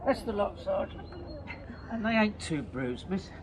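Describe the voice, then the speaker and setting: An actor playing a plain-spoken, working-class Victorian police constable in a radio drama. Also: male voice, high voice, big pitch swings, a little slow, crowd noise.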